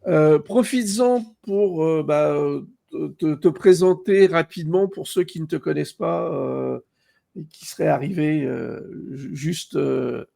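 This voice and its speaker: A man hosting a casual live stream interview, speaking in a hesitant, halting way. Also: a little high, vocal fry, warm tone. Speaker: host of a live show.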